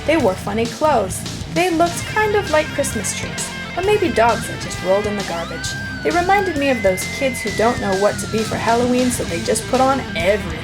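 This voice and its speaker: Female storybook reader, higher register, very animated swings, expressive emphasis, music underneath. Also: low-quality recording. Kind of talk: animated read-aloud narration. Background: music with plucked guitar.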